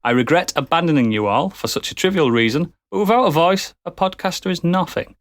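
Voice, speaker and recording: A man's voice: fairly high pitch, expressive, brisk. A man hosting a casual podcast, often switching into a mock-formal, theatrical reading voice. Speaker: podcast host.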